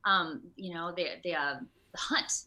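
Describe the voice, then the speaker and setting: A woman with a slightly low, bright voice talks casually as an interview guest. She is chatty and expressive, working out her thoughts aloud in loose, informal phrases. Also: normal speed, highs cut off. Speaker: guest, video game voice actor. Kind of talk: casual animated interview chat. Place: remote video call.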